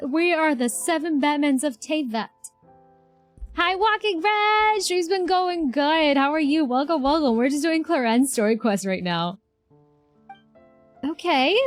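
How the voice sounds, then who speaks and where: A woman streaming casually, chatting and thinking aloud as she reacts to a video game. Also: on the high side. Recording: game music behind the voice.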